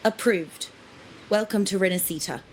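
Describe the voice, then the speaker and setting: A woman's voice: medium pitch, normal speed, slight background noise, lightly acted, rough recording. A woman playing a strict customs inspector in a video game, speaking in an official, polite and firm manner.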